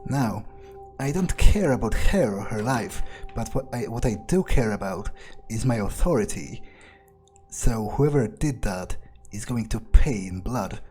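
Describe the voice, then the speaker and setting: A man playing a cold, vengeful vampire obsessed with his authority, improvising deliberately and wryly in character for a game playthrough. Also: lowish voice, expressive, darkish tone, soft background music. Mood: cold, scheming. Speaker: gameplay roleplayer.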